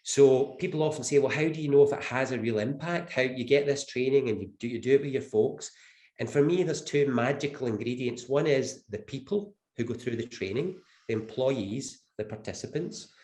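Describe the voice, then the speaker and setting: A man's voice: medium pitch, normal speed, a little mellow, roomy sound. A Scottish man with the flu speaking as a webinar panelist, earnest and emphatic, repeating his key phrases. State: has the flu. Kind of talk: webinar presentation.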